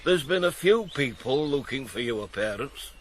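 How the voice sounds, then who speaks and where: A man's voice: mid-range voice, animated, normal speed, natural voice. An actor playing a surly, curt and accusing male householder in a radio drama. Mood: suspicious. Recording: 1980s radio play, faint outdoor noise.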